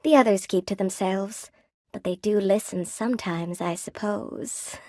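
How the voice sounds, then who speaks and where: A woman's voice: medium pitch, measured pace, warm tone, no echo, close to an everyday voice. An actress voicing a friendly, wry spider weaver woman in a video game, chatty and coy, with a folksy, lightly theatrical delivery. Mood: playful, confiding. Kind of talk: video game character dialogue. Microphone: close dry studio microphone.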